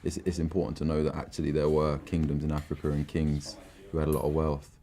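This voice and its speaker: A man's voice: very deep voice, completely flat delivery, quick pace, dark tone. A twenty-two-year-old man, a filmmaker talking thoughtfully in a documentary interview and often restarting his sentences. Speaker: filmmaker and platform founder.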